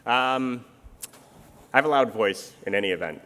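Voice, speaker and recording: A middle-aged man from Canada speaking in a measured, explanatory way, with many fillers, in an onstage armchair conversation. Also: medium pitch, pushed and bright. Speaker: international insurance supervision official. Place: conference stage. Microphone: handheld or stage microphone.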